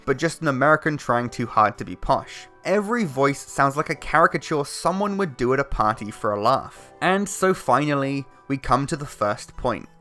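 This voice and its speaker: A British man reading a scripted commentary with a critical, measured delivery. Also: on the high side, pushed and bright. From Britain. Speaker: video essayist.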